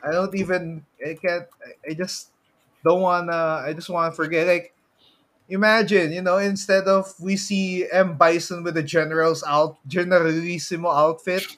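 A man with a Filipino accent chatting casually as a podcast co-host, haltingly feeling for his words. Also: high voice, flat delivery, fairly bright tone. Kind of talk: casual chat.

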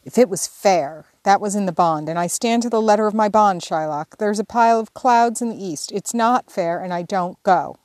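A woman's voice: medium pitch, moderate pace, few pauses, darkish tone, no echo, studio quality, natural voice. A woman reading as a blunt, contrary older sister. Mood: grumbling. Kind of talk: audiobook character reading.

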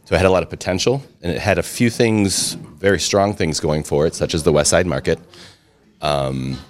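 A man's voice: low voice, brisk. A middle-aged man, talking about his past in a chatty, self-deprecating way during an interview. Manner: chatty, self-deprecating humor, frequent restarts. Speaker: interview guest, restaurant and brewery owner. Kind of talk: casual storytelling interview.

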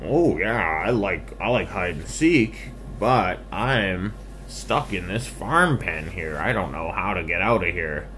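A man's voice: deep voice, animated, slow pace, pushed and bright, a little hiss or hum, full character voice. A man voicing a good-natured, agreeable little farm pig in a bedtime story for children, hearty and easygoing. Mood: friendly.

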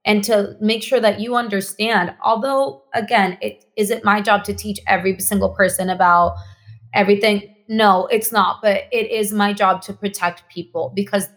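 A woman's voice: medium pitch, steady tone, moderate pace, fairly bright tone. A woman from Mexico whose first language is Spanish, talking earnestly as a podcast guest and often restarting and repeating phrases for emphasis. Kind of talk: casual podcast conversation.

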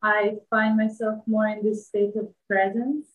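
A woman's voice: mid-range voice, flat delivery, unhurried, a little hiss or hum. Echoey, thoughtful woman from Bosnia. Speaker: interview guest. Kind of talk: reflective casual interview talk. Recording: echoey room sound.

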